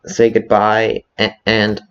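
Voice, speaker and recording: A man's voice: lowish voice, monotone, a bit bright, slight background noise. A man voicing a nervous, guilt-ridden scientist with a heavy stutter in a fan dub of a video game. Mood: nervous, halting. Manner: heavy stutter, halting, anxious. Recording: slightly dull lo-fi audio.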